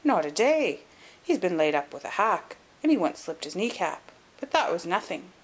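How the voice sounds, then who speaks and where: Loyal, excitable young gent read by a woman, plain-spoken, emphatic storybook dialogue, lively intonation. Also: fairly low pitch, normal speed. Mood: hearty, emphatic. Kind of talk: audiobook character acting.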